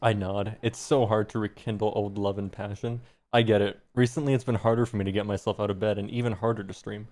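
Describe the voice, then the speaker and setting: A man's voice: fairly low pitch, level tone, fast talker, few pauses, a little mellow. A man streaming, reading fan fiction aloud with some embarrassed amusement.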